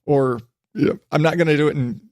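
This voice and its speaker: A man's voice: a little high, brisk, a little mellow, no room sound, studio quality. A man hosting a rambling solo podcast, chatty and self-deprecating, drifting from one digression to the next. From western United States. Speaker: podcast host. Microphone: studio microphone.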